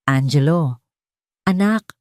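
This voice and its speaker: A woman's voice: deep voice, lively intonation, slow pace, a little mellow, dry recording, studio quality. Desperate pleading Filipino mother, acted by a woman. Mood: panicked. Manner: pleading, trembling. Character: desperate young mother pleading for her sick son.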